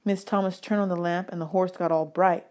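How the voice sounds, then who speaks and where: A woman reading a children's novel aloud as a teacher, in an expressive storytelling style. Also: lowish voice, a little fast.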